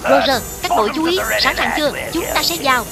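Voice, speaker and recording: A woman voicing a brisk cartoon military commander, barking orders to a squad. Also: sits high, expressive. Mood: commanding. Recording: loud music under the voice.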